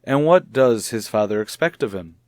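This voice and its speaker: An actor playing a calm, formal male child psychologist in a vintage radio soap opera, questioning in a measured, deliberate way. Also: medium pitch, noisy background, lightly acted. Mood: curious, probing.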